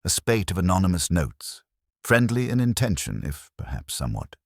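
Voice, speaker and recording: A nervous village vicar as read by a male narrator in a mystery audiobook. He is apologetic and hesitant, with a very low voice that wavers widely in intonation. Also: sing-song, normal speed, no echo, studio quality, exaggerated voice. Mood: nervous, tactful.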